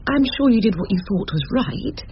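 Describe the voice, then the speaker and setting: An actress with an English accent playing a dry, formidable barrister's wife in a radio drama, tart and measured. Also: female voice, medium pitch, lively intonation, lightly acted. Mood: dry, confiding. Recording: dull radio recording, faint noise.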